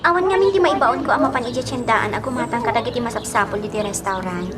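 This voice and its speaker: A woman's voice: a little high, fast, over loud music, close to an everyday voice. A woman acting a courteous restaurant boss in an Ilocano radio drama, polite and businesslike. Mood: polite, requesting.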